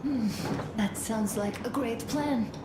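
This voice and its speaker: A woman's voice: fairly high pitch, slow pace. An actress playing a brave, self-effacing ailing woman in a video game, speaking plainly. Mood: apologetic, wry. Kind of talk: dramatic video game voice acting. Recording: roomy, loud background noise.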